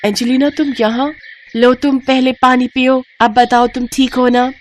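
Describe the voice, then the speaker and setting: Bold young woman back from abroad, played by a narrator, high-pitched, caring and direct, for a moral story. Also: little pitch movement, moderate pace, a little hiss or hum, lightly acted. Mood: caring, friendly. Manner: exclaiming, familiar and direct.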